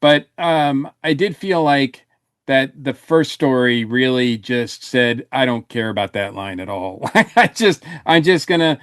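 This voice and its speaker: A man's voice: mid-range voice, expressive, measured pace, a bit bright, dry recording, studio quality. A man giving his take in a casual podcast review discussion, often restarting his phrases as he goes. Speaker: podcast panelist.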